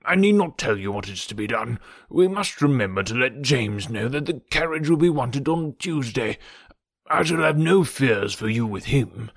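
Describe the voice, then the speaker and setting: Casting: male narrator as elderly, hypochondriac gentleman for classic audiobook, gentle and mournful, swooping intonation, close dry sound. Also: medium pitch, vocal fry, no echo. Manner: fussy, mournful, deliberate. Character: fretful, gentle old gentleman, anxious hypochondriac father. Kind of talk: audiobook character voice from a classic novel.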